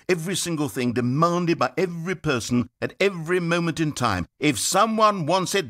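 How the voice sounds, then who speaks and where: An actor voicing a bitter, grandiose male narrator in a game, speaking in a measured, dramatic and theatrical way. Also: fairly high pitch, bright, forceful tone. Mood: indignant.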